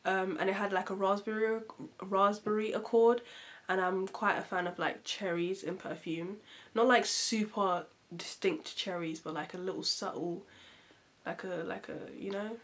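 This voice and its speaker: A woman reviewing perfumes in a casual vlog. She sounds nasal and muffled from a cold, and she talks in a chatty, expressive way with lots of fillers. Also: medium pitch, moderate pace. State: congested from a cold.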